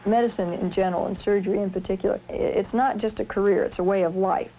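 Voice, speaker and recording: A woman surgeon speaking in a measured, articulate way in a reflective documentary interview. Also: mid-range voice, steady tone, mellow, low-quality recording. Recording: 1970s film sound with faint noise.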